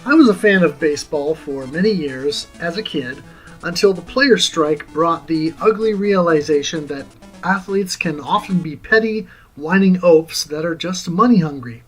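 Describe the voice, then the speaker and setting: A middle-aged man with an American accent hosts a scripted game review as a dramatic reading. His voice sits a little high for a man, and his delivery is deliberate and theatrical. Soft music plays beneath him. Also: rough recording. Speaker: host of a retro game review series. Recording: music under the voice.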